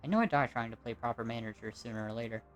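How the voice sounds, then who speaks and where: An amateur narrator reads a dramatic game story at home. The voice sits at a medium pitch and follows a script in storytelling fashion, with music behind it. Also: racing. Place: at home, recording indoors.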